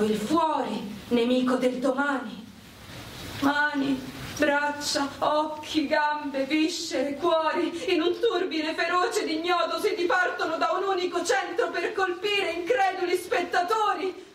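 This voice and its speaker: Female, high voice, unhurried theatrical recitation of war poetry, picked up from a distance in a reverberant space. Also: steady tone. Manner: slow, theatrical delivery. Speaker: performer reciting. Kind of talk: dramatic poetic monologue about war. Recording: echoey, distant pickup with background noise.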